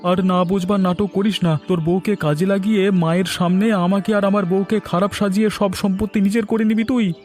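Man acting a high-voiced, aggrieved village brother. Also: fairly even intonation, fairly quick, darkish tone, faint music behind, lightly acted. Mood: accusing. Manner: plaintive, indignant. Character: gullible, aggrieved married village brother, easily riled. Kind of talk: cartoon voice acting.

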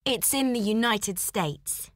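A woman voicing a student who answers questions slowly and hesitantly. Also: medium pitch, lively intonation, no echo, studio quality, voice pushed up. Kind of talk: coursebook dialogue reading.

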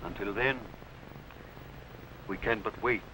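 A grave Saxon king in hiding for a vintage costume drama. His voice is forceful and his phrasing solemn and theatrical. The old soundtrack carries faint noise. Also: on the low side, slow pace, poor audio. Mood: sorrowful, resigned. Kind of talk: 1950s television costume drama acting.

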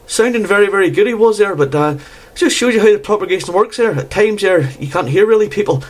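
A man's voice: high voice, expressive, quick pace. A man chatting casually as an amateur radio operator, often tagging his phrases with "there". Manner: repeatedly tags phrases with "there". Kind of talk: casual radio contact chat.

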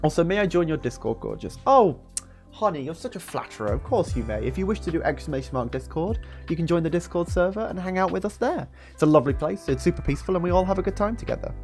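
A man in his twenties in a chatty, expressive livestream storytelling style, speaking close to a studio microphone in a small room. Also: fairly high pitch, fast talker. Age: 20s. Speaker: streamer reading a book aloud. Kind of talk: storytelling and casual livestream chat. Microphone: close studio microphone on an arm, with headset. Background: background music.

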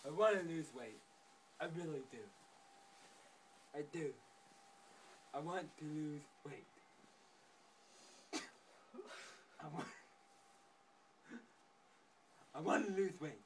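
A man voicing a whiny teenage girl stuck in a wheelchair in an improvised comic skit, talking in a slow, halting, plaintive way. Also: fairly high pitch, animated. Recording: distant mic with faint background noise.

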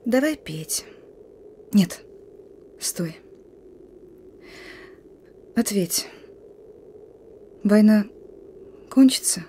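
An actress playing a young woman partisan with a gruff commander's front and a wistful heart, in a radio play. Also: medium pitch, expressive, drawn-out words, long pauses, dark tone, a little hiss or hum. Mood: wistful, pleading.

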